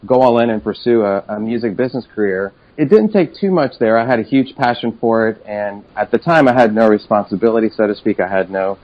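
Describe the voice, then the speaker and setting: A man in his forties, from Chile, talks as a guest in an upbeat, enthusiastic way, with a few fillers. His voice sits on the low side. It comes through a dull, muffled remote recording. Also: fairly quick, hardly any pauses. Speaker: career coach guest. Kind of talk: interview conversation.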